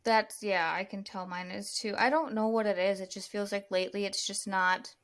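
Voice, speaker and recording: A woman's voice: medium pitch, steady tone, moderate pace, a bit bright. Woman in her 30s just chatting on a podcast, laid back, kinda rambly. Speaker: podcast co-host. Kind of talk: casual chat.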